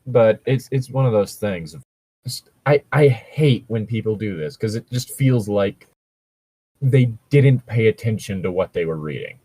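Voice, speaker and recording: A man's voice: lowish voice, lively intonation, unhurried, dark tone, husky, no echo. A man in casual commentary chat, opinionated and argumentative, swearing freely as he talks. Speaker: co-host reading and reacting to fan fiction.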